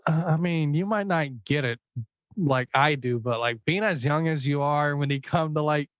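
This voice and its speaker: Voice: medium pitch, moderate pace, dry recording. Young podcast host, dry close sound. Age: young adult. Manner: rambling, relaxed, heavy on fillers. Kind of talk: casual podcast conversation.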